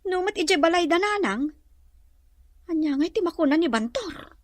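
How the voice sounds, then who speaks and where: High-voiced troubled young wife. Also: female voice, lively intonation, normal speed, dry recording. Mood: worried. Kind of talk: radio drama acting.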